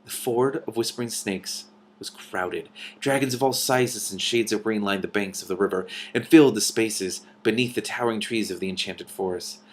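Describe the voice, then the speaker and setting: A man hosting a read-aloud of a novel, narrating expressively with animated, informal commentary. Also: lowish voice, normal speed.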